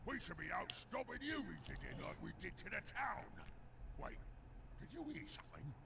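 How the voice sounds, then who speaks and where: An actor playing a dim, grumbling orc guard with a gravelly voice and a crude manner of speech in a game. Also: male voice, high voice, very animated, moderate pace, very noisy, full character voice. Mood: bored, grumbling.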